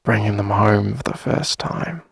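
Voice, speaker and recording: A man playing a tender, reassuring boyfriend in an intimate ASMR roleplay, speaking softly and close to the mic with gentle, coaxing pauses. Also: no room sound, heavily acted. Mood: soft, wistful. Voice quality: husky, breathy, creaky low murmur. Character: tender, reassuring boyfriend longing to start a family. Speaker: ASMR audio roleplay performer. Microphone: close dry microphone.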